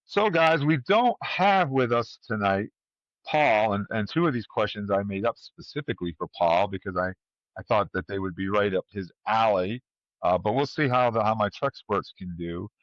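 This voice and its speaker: A man's voice: lowish voice, lively intonation, moderate pace, no room sound. Guy hosting a casual trivia podcast, dry close sound, bit muffled lo-fi mic. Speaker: podcast host running a trivia quiz. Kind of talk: casual chat and quiz hosting.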